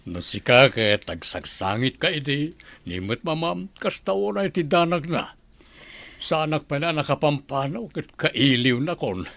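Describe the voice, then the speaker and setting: Animated man playing a fatherly governor. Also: fairly high pitch, normal speed, gravelly, no echo. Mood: earnest. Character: fatherly, principled newly elected governor. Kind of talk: Ilocano audio drama acting.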